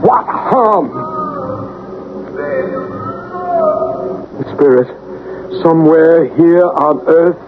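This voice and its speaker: An actor playing a grave, soothing psychic investigator in a radio drama, with solemn, coaxing phrasing. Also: male voice, a little high, lively intonation, takes it slowly, narrow, tinny audio. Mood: coaxing concern. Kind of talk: 1970s radio drama acting and narration. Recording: thin, faintly noisy old radio recording.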